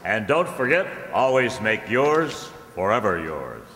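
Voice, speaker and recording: A man's voice: on the low side, very animated, measured pace, noisy background. A man playing an emphatic announcer on a 1950s radio show. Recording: very echoey hall, distant.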